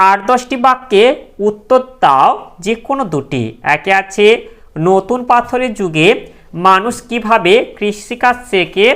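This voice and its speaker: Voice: medium pitch, fairly bright tone. A teacher reads exam questions and answers aloud as a lesson, instructing students directly.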